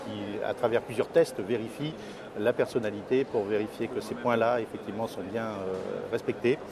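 A man in his fifties giving hesitant, measured interview answers as a company executive. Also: on the low side, fairly even intonation, a crowd in the background. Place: trade fair interview stand, indoors. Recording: clearly noisy exhibition hall ambience.

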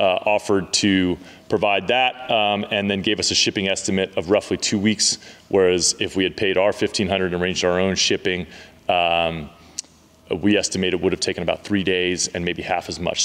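A man in his thirties casually telling a story to camera in a large car garage, relaxed and chatty with many fillers. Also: lowish voice. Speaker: car dealership owner and channel host. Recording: reverberant garage hall.

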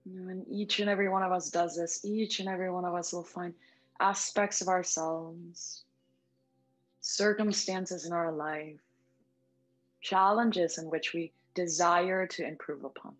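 Female meditation guide, lower register, gentle and unhurried, soft music bed underneath. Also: steady tone. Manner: gentle, soothing, unhurried with long pauses. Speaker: yoga nidra meditation instructor. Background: background music.

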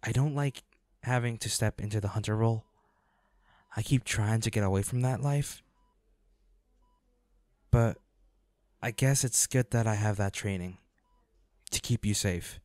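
A man playing a devoted boyfriend and weary, protective monster hunter in an audio roleplay, speaking earnestly in short, halting phrases. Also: on the low side, a little mellow, no room sound, lightly acted. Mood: tender, anxious.

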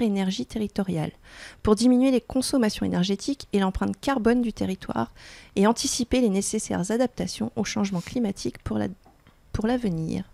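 A woman's voice: a little high, gravelly, a little mellow, no room sound. A woman in her forties presenting a radio magazine and interviewing a guest, speaking into a close studio microphone. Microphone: close handheld-style studio microphone.

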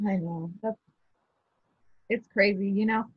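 A young woman speaking as a guest on a video call, relaxed and matter-of-fact. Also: medium pitch, measured pace, breathy edge, no room sound. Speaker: guest, shop co-owner. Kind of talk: casual video call interview. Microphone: shared video call. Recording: tinny phone-like call audio.

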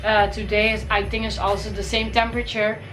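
A woman's voice: mid-range voice, flat delivery, fairly quick, over music, low-quality recording. A young woman from the Caribbean, chatty and upbeat, talking through a shopping haul in a bedroom while she has a cold. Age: young adult. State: has a cold, voice may crack. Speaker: fashion vlogger. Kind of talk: casual shopping haul vlog. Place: bedroom, indoors.

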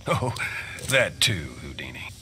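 An actor playing a cocky gunslinger mercenary with a Southern American accent and a wry drawl. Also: male voice, sits low, sing-song, unhurried, bright, forceful tone, full character voice. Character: cocky gunslinger mercenary cowboy, amused and dangerous. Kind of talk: fighting game character dialogue. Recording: clear game background noise.

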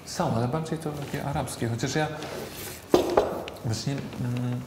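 A man's voice: medium pitch, measured pace, very noisy. A man in casual conversation in a big reverberant room, with music playing behind. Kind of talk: casual chat. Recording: echoey hall with music.

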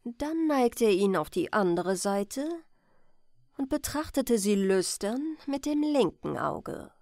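A woman reading a bedtime fairy tale as scripted audiobook narration, in an expressive, deliberate storytelling manner. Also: mid-range voice, no room sound, studio quality. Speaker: audiobook reader.

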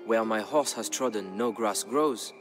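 A man with a fairly low voice narrates a historical saga. His delivery is solemn and measured, like scripted storytelling for a game campaign, with music clearly playing behind him. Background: background music.

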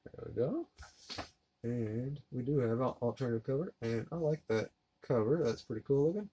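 A man in his thirties giving a relaxed, rambling unboxing commentary while handling discs at his desk. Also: mid-range voice, normal speed. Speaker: collector unboxing a disc set. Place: collectibles room indoors. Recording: noisy home recording.

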